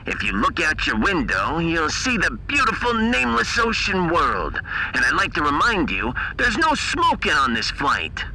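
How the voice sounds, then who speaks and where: A man's voice: a little high, wildly swooping pitch, normal speed, sharp tone, slight background noise, voice pushed up, heavily acted, rough recording. A man voicing a wisecracking shuttle pilot with showy limo-driver patter in a science fiction audiodrama. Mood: joking. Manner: showy mock-announcer salesmanship.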